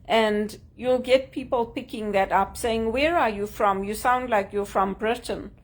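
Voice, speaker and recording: A woman from Zimbabwe with a Zimbabwean accent, talking to camera in an earnest, measured way about job hunting. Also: a little high. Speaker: job seeker sharing tips. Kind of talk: casual talk to camera.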